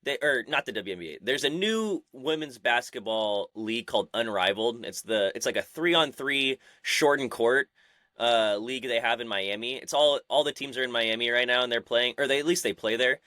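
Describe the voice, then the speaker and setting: Bright, excitable male podcast co-host. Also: medium pitch, normal speed, dry recording, studio quality. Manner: chatty, excitable, loose. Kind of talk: casual podcast chat.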